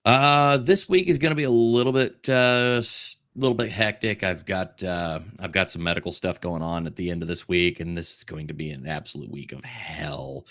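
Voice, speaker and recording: A man co-hosting a stream in casual chat, with halting, hesitant phrasing. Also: low voice, fairly bright tone. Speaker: stream co-host, video creator.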